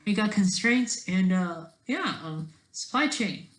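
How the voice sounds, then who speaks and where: A man with a fairly high, very animated voice rambles casually through his own ideas. He stops and starts, trails off and tacks on little questioning checks. The recording is strongly echoey, like an untreated room. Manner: halting, trailing off and jumping between thoughts. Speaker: solo online video creator. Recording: echoey, roomy sound.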